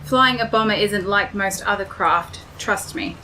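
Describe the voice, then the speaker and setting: A woman's voice: medium pitch, measured pace, pushed and bright, slight background noise, rough recording. A woman playing a self-assured, blunt pilot and bomb tinkerer with a wry manner in an audio drama. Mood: cocky, wry. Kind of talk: scripted audio drama acting.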